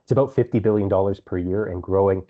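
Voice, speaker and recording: A man speaking as a company executive, answering investor questions on an earnings call in measured phrases with some repeated words. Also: deep voice. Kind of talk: earnings call answers, semi-prepared business talk. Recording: dull, band-limited conference call audio.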